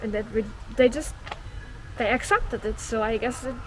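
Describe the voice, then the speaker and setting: A woman's voice: fairly high pitch, moderate pace, slight background noise, rough recording. Curious young-sounding woman asking questions. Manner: curious, asks follow-up questions. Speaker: host. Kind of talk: casual conversation.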